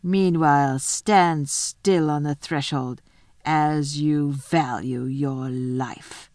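Woman voicing a slow, menacing male wolf. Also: low voice, fairly even intonation, a little mellow, no echo, clean studio sound, pitched below the performer's own voice. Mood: cold menace. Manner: slow, threatening, deliberate. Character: menacing male wolf, chief of secret police.

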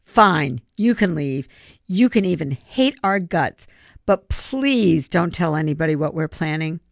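A woman's voice: on the low side, sing-song, moderate pace, dry recording. A woman voicing an earnest, scheming schoolboy ringleader who argues insistently in an audiobook story. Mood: desperate. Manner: pleading, insistent.

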